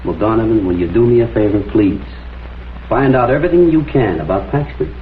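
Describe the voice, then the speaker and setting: An actor playing a shrewd, persistent crime photographer in a vintage detective drama, questioning politely but insistently. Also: male voice, fairly low pitch, expressive, brisk, slight background noise, close to an everyday voice. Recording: muffled phone-like old soundtrack, music behind.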